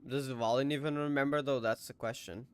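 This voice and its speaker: A man's voice: medium pitch, steady tone, brisk, a little hiss or hum. Man musing over a game story. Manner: muses aloud, questions the story. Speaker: game streamer. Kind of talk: casual game reaction commentary.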